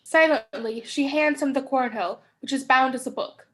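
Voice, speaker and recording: High-voiced young woman reading stage directions. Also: normal speed, fairly bright tone. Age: young adult. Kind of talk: scripted narration.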